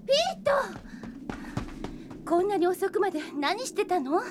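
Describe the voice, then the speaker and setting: An actress playing a caring but strict twenty-year-old big sister in an audio drama. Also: female voice, extremely high pitch, big pitch swings, unhurried, noisy background, full character voice. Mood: scolding. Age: young adult. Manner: polite to guests, strict with her brother. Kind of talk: Japanese audio drama acting. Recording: loud background music.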